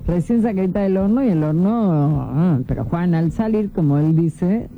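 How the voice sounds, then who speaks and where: A woman's voice: fairly low pitch, expressive, moderate pace, narrow, tinny audio. A woman, hosting early-morning call-in radio and chatting as she reads out listener messages. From Córdoba, Argentina. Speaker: radio host. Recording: phone-thin radio broadcast with faint music.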